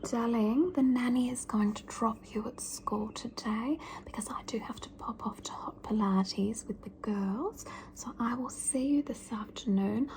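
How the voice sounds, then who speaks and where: A woman's voice: fairly high pitch, slight background noise, natural voice, low-quality recording. A woman whispering as a fussy, bossy mum. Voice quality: soft whisper. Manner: doting yet scolding, lingering and drawn-out. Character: fussy pampering mother, bossy, calls everyone darling. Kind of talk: ASMR roleplay.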